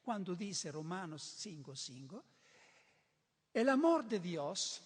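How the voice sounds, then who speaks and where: A man's voice: high-pitched, very animated, slow pace. An older man who is not a native Spanish speaker, preaching a sermon in an emphatic way, often repeating and restarting words. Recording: reverberant hall.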